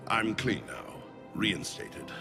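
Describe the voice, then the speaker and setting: A low, gravely serious old alien general in a sci-fi game. He speaks formally and with resolve, his pitch swinging with conviction. Music plays behind him. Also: sing-song, takes it slowly, heavily acted. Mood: grim determination. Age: older. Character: stern, duty-bound old alien turian general. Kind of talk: dramatic video game dialogue.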